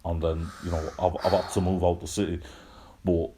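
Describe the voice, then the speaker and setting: A man's voice: low voice, flat delivery, brisk, background noise, natural voice. A man playing a mild, hesitant Mancunian podcast co-host, chatting casually. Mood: earnest, defensive. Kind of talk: comic casual chat.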